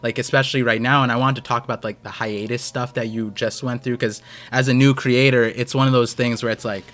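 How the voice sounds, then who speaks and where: A man's voice: mid-range voice, level tone, a little fast, fairly bright tone. A man hosting a stream, talking casually and rambling with lots of restarts. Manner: rambling, many fillers and restarts. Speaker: host.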